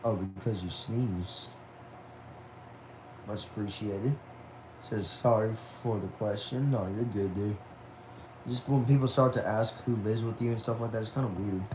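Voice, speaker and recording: A man's voice: on the low side, unhurried, pauses often, background noise. Laid-back young man chatting on a livestream. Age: about 20. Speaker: livestream host. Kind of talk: casual livestream chat, reading viewer messages aloud. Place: at home.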